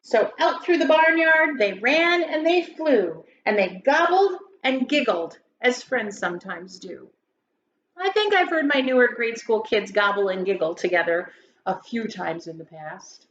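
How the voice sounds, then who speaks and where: A woman with a fairly high voice reads a storybook to schoolchildren in a theatrical, emphatic way. The room is echoey, and the recording is a bit dull with faint noise. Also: expressive, unhurried, a bit bright. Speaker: grade school educator reading aloud to pupils. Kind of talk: expressive children's storybook read-aloud. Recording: roomy echo, dull sound, faint noise.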